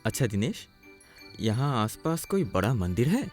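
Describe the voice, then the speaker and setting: A man playing an earnest, dutiful young village man in a cartoon story, gentle, reassuring and expressive. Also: mid-range voice, normal speed, faint music behind, close to an everyday voice. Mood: polite, curious. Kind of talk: Hindi cartoon story acting.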